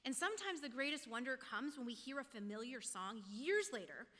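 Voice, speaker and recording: A woman's voice: sits high, sing-song, fairly quick, fairly bright tone. A woman giving a reflective, prepared sermon as a lay speaker in church. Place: church sanctuary, speaking to congregation. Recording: echoey church hall.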